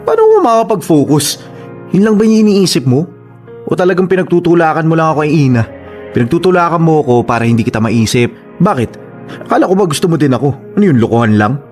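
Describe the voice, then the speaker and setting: Young Filipino lover, radio drama, very animated pitch, pleading, teasing, insistent, music behind. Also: male voice, quick pace. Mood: pleading, frustrated. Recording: music under the voice.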